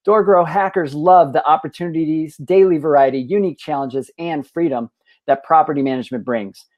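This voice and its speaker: A man hosting a business podcast, opening with an energetic scripted welcome before interviewing his guest. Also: fairly high pitch, fairly quick, highs cut off. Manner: energetic, promotional. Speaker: podcast host. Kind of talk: scripted show intro then interview.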